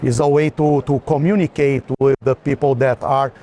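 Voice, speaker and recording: A man's voice: medium pitch, steady tone, moderate pace, mellow. A man speaking as an art collector in informal conversation, hesitant and often restarting his phrases. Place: art fair, walking tour. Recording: background noise of a busy hall.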